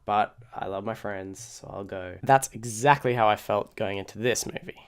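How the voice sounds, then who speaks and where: A man's voice: fairly low pitch, normal speed, clear, resonant tone. Casual man vlogging a movie review. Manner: informal, slangy, conversational. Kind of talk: casual opinion review to camera.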